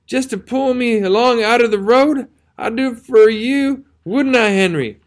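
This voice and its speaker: A wounded young soldier, as a male narrator puts him on, begging his friend in a shaky, rustic drawl. His voice rides noticeably higher than the reader's own, thin and strained with terror. He speaks as a badly hurt countryman who fears being left in the road. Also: measured pace. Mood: pleading.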